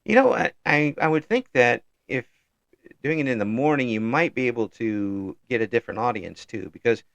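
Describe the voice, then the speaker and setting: Older male interview host, gravelly and easygoing, halting delivery, dry close mic. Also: medium pitch, animated, dry recording, lo-fi sound. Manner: easygoing, halting with frequent ums and restarts. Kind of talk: casual interview chat.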